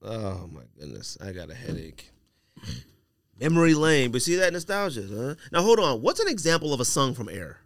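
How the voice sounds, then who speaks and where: A man's voice: medium pitch, very animated, moderate pace, dry recording, clean studio sound. A man co-hosting a podcast, chatting casually with teasing, slangy banter. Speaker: podcast co-host. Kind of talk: casual chat among friends.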